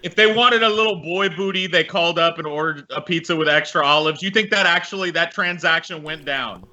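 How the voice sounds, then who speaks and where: A man's voice: fairly high pitch, brisk, bright, forceful tone, muffled recording. A man co-hosting a podcast with casual commentary, blunt and slangy. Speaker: podcast co-host.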